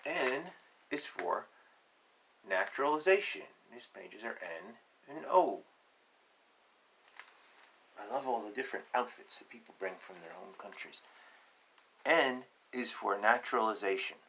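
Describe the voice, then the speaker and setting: A man reading a picture book aloud to children, deliberate and emphatic in short phrases, with chatty, playful asides. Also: fairly low pitch, expressive, a bit bright, slight background noise, low-quality recording.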